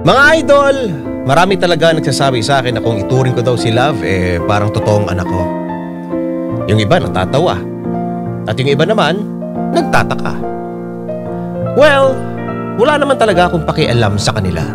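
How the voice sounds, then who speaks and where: A man tells a personal story as a radio narrator. His delivery swings widely and dramatically in pitch, at a middle register, with piano music playing clearly behind him. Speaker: narrator reading a listener's letter.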